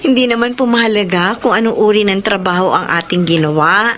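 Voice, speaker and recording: A woman acting a dutiful, modest adult daughter in a Filipino radio drama, with a Filipino accent and respectful, gentle phrasing. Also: mid-range voice, lively intonation, moderate pace, a little hiss or hum, close to an everyday voice. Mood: respectful, reassuring.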